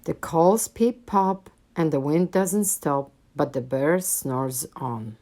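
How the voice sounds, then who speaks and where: A woman's voice: sits low, takes it slowly, dark tone. A woman reading a children's picture book aloud as a storyteller, with dramatic, rhythmic delivery.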